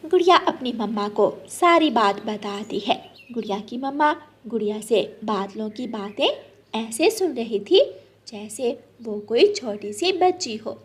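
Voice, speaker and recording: A woman's voice: fairly high pitch, animated, measured pace, breathy edge. A woman reading scripted narration for a children's cartoon story.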